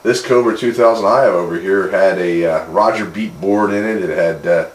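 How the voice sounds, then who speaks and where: Male radio hobbyist, very low voice, plainspoken, roomy echo with casual delivery. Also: normal speed. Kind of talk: casual chat over radio. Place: two-way radio conversation.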